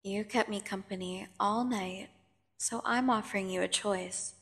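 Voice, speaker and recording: A woman playing a menacing, seductive vampire prisoner in an ASMR audio drama, taunting and condescending with theatrical pauses. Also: medium pitch, fairly even intonation, gravelly, a bit bright. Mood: threatening, seductive. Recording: long cavernous reverb.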